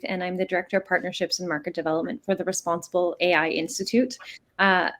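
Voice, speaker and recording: A woman from Canada introducing herself as a panelist on a webinar over a video call, upbeat and professional. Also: on the low side.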